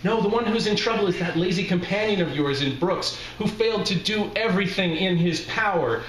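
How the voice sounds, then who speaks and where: A man voicing a gushing, sanctimonious male mission president in a live story reading, effusive, drawn-out and preachy. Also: high voice, animated, pitched above the performer's own voice. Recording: roomy live bar recording, faint background noise.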